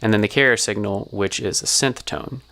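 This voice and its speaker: Low-voiced man explaining audio techniques. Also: normal speed, pushed and bright. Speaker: sound designer giving a tutorial. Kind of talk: explanatory tutorial narration.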